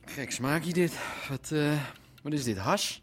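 A grumbling, restless lover in a radio play. His voice is husky and gruff. He speaks in short, colloquial mutters. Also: a little high, slow pace. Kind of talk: naturalistic audio drama acting.

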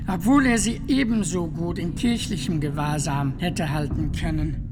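Actor as earnest friar witness, radio play, deliberate formal delivery, very slow pace, music underneath. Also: mid-range voice, lightly acted. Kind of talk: measured radio play testimony.

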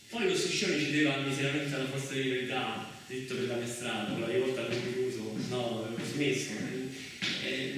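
A man performing a solo stage monologue, telling a story in a halting, repetitive, dramatic way. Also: mid-range voice, a bit bright, far from the mic. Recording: echoey hall from afar, faint noise.

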